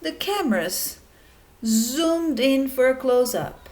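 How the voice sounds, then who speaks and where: A woman teacher reading a children's story aloud in a deliberate manner, speaking directly to young listeners. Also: sits high, expressive, a little mellow. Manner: deliberate, addressing children with questions.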